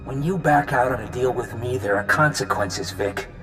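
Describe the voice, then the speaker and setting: A man's voice: medium pitch, moderate pace, over music, natural voice, rough recording. Man voicing a sneering, menacing crime boss. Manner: threatening, sneering. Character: menacing, vengeful arms-deal crime boss. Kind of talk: video game cutscene dialogue.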